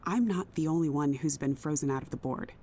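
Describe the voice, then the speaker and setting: Actress as grave, principled female official; lowish voice, stubborn, understated drama, rumbly noisy background. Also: fairly quick, mellow. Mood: worried. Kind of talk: naturalistic audio drama acting.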